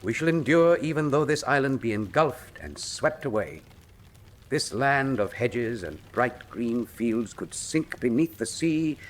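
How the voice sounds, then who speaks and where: An actor playing a brilliant, wry detective in a radio drama, articulate and theatrical, with a British accent. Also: male voice, medium pitch. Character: cool, brilliant male detective, wry and patriotic.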